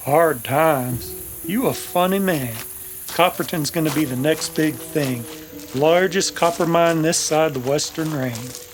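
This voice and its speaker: An actor playing a gruff, sarcastic bounty hunter with an in an old-time radio drama, dry and mocking. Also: male voice, a little high, a little slow, soft background music, close to an everyday voice. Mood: dismissive.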